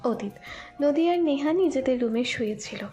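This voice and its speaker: A woman's voice: high-pitched, animated, moderate pace, warm tone. A woman narrating a scripted romantic audio story in the third person.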